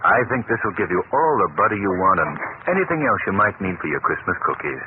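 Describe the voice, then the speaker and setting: Urbane gentleman on tinny old radio. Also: deep voice, big pitch swings, fast, noisy background. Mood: kindly reassuring. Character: urbane well-off clubman, playfully kind and reassuring. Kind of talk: 1940s radio acting.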